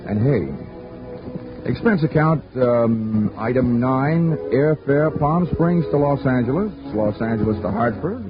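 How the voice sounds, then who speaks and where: A dry, sardonic insurance investigator in a 1940s radio drama, swinging his pitch as he delivers wisecracks. The broadcast sounds dull and dated, with music playing behind him. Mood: wry. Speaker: lead and narrator of a radio drama.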